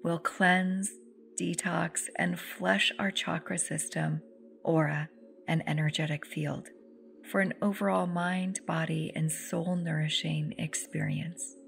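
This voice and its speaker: A woman leading a guided meditation in a soft, soothing, unhurried voice that turns breathy at phrase ends. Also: lowish voice, fairly even intonation. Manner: soothing, unhurried, with long pauses. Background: meditative music with singing bowls.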